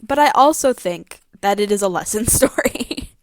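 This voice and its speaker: A woman's voice: medium pitch, expressive, normal speed, slight background noise. A woman co-hosting a casual discussion of a book, talking conversationally. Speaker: podcast co-host.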